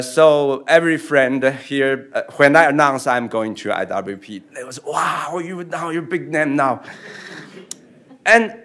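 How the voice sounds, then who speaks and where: A young man from Taiwan speaking English slowly, with a light, fairly high voice. His casual talk is self-deprecating and full of fillers. He speaks at a lectern mic in a library meeting room. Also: a bit bright. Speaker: visiting writer on a panel. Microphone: lectern microphone.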